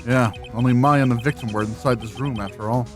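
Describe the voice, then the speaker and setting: A woman's voice: rumbling low, fairly even intonation, quick pace, darkish tone, soft background music. A woman voicing a young male attorney in a video game, dry, earnest and inquisitive. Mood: pensive narration. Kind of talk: video game dialogue and inner monologue.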